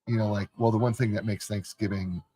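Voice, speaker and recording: A man's voice: on the low side, steady tone, fairly quick, dark tone, breathy edge, no room sound. A man chatting casually and leading the questions, jokey and enthusiastic, who often repeats his words as he speaks. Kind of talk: casual chat.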